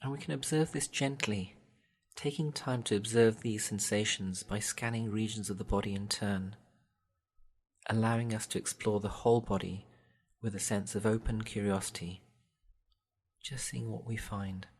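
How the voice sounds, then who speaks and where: A man guiding a meditation in a gentle, soothing, measured way, leaving long pauses between instructions. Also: fairly low pitch, fairly even intonation, husky. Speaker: meditation guide. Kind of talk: guided body scan meditation.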